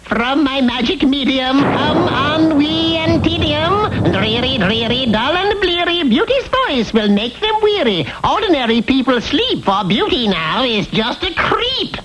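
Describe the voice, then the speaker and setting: A woman's voice: high-pitched, sing-song, slow pace, few pauses, crowd noise, heavily acted. A woman playing a scheming, gloating, cackling wicked witch in a fairy-tale cartoon. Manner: gloating, rhyming incantations, cackles. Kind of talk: classic TV cartoon voice acting. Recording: noisy old TV cartoon recording.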